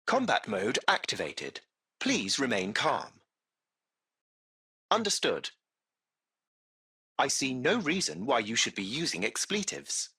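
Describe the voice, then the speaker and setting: An actor playing a composed, courteous artificial intelligence that drives a luxury taxi, speaking in formal, polite phrases for a video game. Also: male voice, medium pitch, gravelly, bright, forceful tone, breathy edge, heavily acted. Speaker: AI chauffeur. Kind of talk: scripted video game dialogue.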